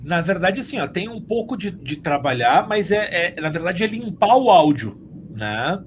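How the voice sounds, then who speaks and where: A man's voice: high-pitched, big pitch swings, normal speed, a little hiss or hum. A Brazilian man casually explaining his work as a sound editor in an interview.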